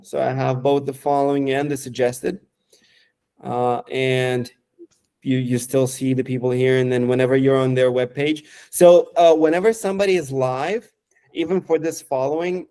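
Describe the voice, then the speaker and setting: Mellow-voiced man demoing software. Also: mid-range voice. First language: Romanian. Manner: halting, lots of fillers and restarts. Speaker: software developer. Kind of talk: casual screen-share product demo.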